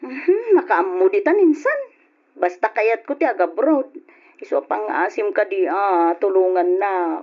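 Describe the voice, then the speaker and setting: A woman's voice: mid-range voice, lively intonation, a little slow. A woman playing a pleading, determined young Filipino wife. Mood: pleading, insistent. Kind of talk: old-fashioned Ilocano radio drama acting. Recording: dull lo-fi old radio drama.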